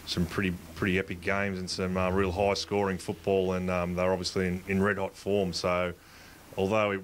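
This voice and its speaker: A man talks as a local football coach in a post-match interview. His voice sits low and runs flat, while his speech comes quickly and stumbles through fillers and restarts. A faint hiss sits under the recording. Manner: halting, many fillers and restarts.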